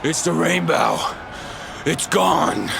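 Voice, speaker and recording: An actor playing an alarmed male tournament official in a video game, speaking haltingly. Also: a little high, animated, vocal fry, background noise, heavily acted.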